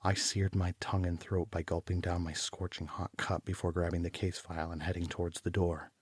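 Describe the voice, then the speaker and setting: An actor playing a troubled homicide detective, narrating his case in a deliberate, brooding, literary style for an audio drama. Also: sits low, flat delivery, slightly rough, no room sound. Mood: brooding, uneasy. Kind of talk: scripted first-person noir audio drama narration.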